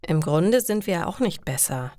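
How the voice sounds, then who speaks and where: A woman reads the part of an anxious young man in an audio story, a reluctant courier for criminals. He speaks slowly and earnestly, in plain words, with a very dry sound. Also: lowish voice, animated, mellow, dry recording. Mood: resigned, worried.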